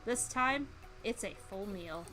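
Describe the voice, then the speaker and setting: A woman streamer reading a game aloud and commenting on it in a playful, animated, exclamatory way. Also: medium pitch, slow pace, soft background music. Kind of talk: live game commentary and dramatic read-aloud.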